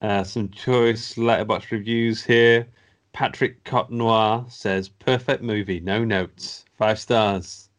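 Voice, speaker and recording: Man, slightly low voice, wry podcast host reading reviews. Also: fairly even intonation, slow pace. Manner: wry, laughing at what he reads.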